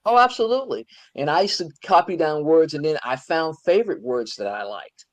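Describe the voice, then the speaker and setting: A woman's voice: low-pitched, lively intonation, fairly quick. An older woman hosting an interview, talking in an enthusiastic, conversational way. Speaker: host.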